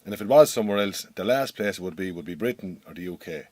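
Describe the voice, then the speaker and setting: Radio drama: Irish farmer boss, lowish voice, very animated intonation, awkward, well-meaning, blunt yet hesitant. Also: male voice, fast, no echo. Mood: indignant. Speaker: actor in an audio drama.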